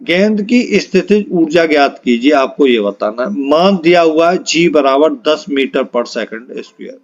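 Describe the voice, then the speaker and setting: A man's voice: on the high side, animated, measured pace, lo-fi sound. A man teaching a step-by-step problem-solving lesson, posing questions and answering them himself, often repeating key phrases. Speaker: physics teacher for exam preparation.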